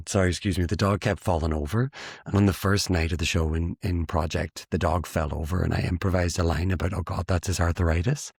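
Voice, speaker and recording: A young man with an Irish accent and a low, deep voice tells stories with lots of laughter and playful bursts of acted-out dialogue. The sound is close and dry, with no room around it. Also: slightly rough, dry recording. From Ireland. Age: young adult. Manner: animated storyteller, laughs often, acts out quoted lines. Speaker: guest theatre maker. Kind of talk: casual interview anecdotes.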